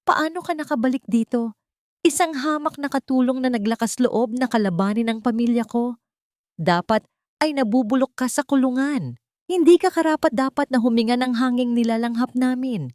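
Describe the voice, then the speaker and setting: Babaeng gumaganap na mapangmatang matandang donya. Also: female voice, fairly high pitch, normal speed, a little mellow, no room sound, clean studio sound, voice pushed up, lightly acted. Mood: furious. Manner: sneering, contemptuous. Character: haughty rich old grandmother, scornful and cruel.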